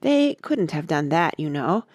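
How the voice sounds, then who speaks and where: A woman's voice: deep voice, very animated, unhurried, darkish tone, no room sound, studio quality, full character voice. Woman as lilting, polite, curious young girl. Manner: polite, earnest, questioning.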